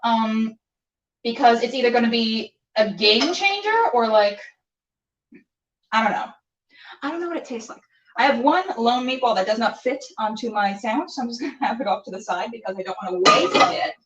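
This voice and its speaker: High-voiced woman in an echoey room. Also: expressive, normal speed, fairly bright tone. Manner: rambling, playful, exclaims and talks to herself. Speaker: host of a cooking show. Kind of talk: casual chatty cooking demonstration to camera. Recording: echoey room, distant mic, dull sound.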